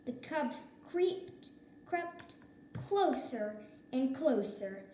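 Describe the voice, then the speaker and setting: Lady narrating a kids storybook, high pitched voice, echoey room w a little noise. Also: expressive, extremely slow, a little mellow. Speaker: storybook reader. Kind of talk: children's read-aloud narration.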